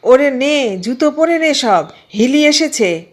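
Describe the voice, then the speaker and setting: A woman's voice: high voice, expressive, slow pace, voice pushed up. A woman voicing flustered, bossy bus passengers in an audio story, calling out urgently.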